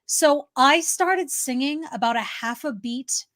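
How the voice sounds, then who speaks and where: High-voiced woman telling anecdotes. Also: measured pace, fairly bright tone. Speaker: talk show co-host. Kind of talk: casual anecdotal chat.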